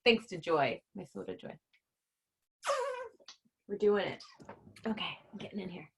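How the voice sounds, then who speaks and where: A woman's voice: fairly low pitch, measured pace, gravelly, slight background noise. A woman hosting a live read-along, chatting casually and playfully and reading a storybook aloud.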